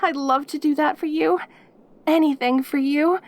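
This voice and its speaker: A woman's voice: high voice, steady tone, normal speed, a little mellow. A woman voicing a perky, lonely yandere demon girl in an intimate audio roleplay, eager and stammering as she dotes on the listener. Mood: nervous, devoted. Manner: eager, stammering, giggly, devoted.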